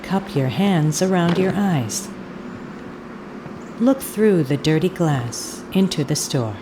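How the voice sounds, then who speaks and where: An actress playing a haunted audio tour guide in a fiction monologue, speaking deliberately and haltingly, with insistent repetition. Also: female voice, on the low side, animated, noisy background. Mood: hushed, eerie. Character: eerie, haunted woman guiding an audio tour. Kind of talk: dramatic second-person audio fiction monologue.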